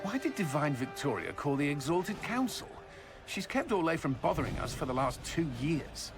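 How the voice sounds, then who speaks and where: Blunt adviser with swooping intonation. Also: male voice, on the high side, sing-song, moderate pace. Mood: exasperated, blunt. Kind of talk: video game cutscene drama. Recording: loud music under the voice.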